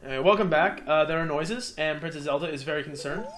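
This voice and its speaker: A man giving casual, animated commentary as he plays a video game with a friend, joking and slipping into mock voices. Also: fairly high pitch, fast. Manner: animated, joking, breaks into mock voices and sung sound effects. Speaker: let's play host and player. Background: video game music.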